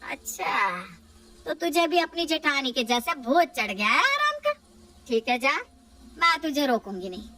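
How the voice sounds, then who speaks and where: A woman's voice: sits high, big pitch swings, measured pace, pushed and bright, recorded from a distance, pitched above the performer's own voice, full character voice. A woman voicing a proud older mother-in-law who scolds her family and later admits she is worn out. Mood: scolding.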